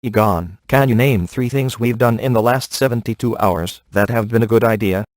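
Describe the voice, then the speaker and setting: A sardonic male ghost hunter from a video game, voiced by a man, in a middle-pitched voice. He is cocky and laid-back, tossing off deadpan, sarcastic remarks in a very dry recording. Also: normal speed, no echo, close to an everyday voice. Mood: dry, wisecracking.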